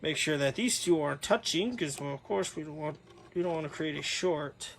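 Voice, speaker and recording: A man's voice: fairly high pitch, brisk, creaky. A man giving a casual, unscripted overview as a hobbyist video host, rambling and correcting himself as he goes.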